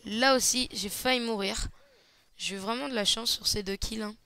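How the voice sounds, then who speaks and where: Smooth-voiced young man narrating gameplay. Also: sits high, steady tone, normal speed, sharp tone. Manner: hesitant, many fillers, casual play-by-play. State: slightly hoarse. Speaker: gaming channel host. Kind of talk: gameplay commentary.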